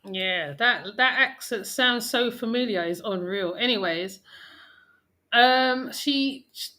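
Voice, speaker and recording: A woman's voice: fairly high pitch, slow, bright, forceful tone. A woman hosting a reaction video, talking in a chatty commentary style with frequent restarts. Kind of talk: casual commentary.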